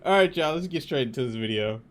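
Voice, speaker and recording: A young American man hosting a reaction video, giving slangy, halting, off-the-cuff commentary in a casual style. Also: mid-range voice, expressive.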